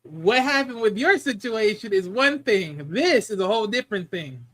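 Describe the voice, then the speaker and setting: A man's voice: high voice, expressive, normal speed, a bit bright. A man guesting on a casual podcast, blunt, profane and mocking. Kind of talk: casual chat.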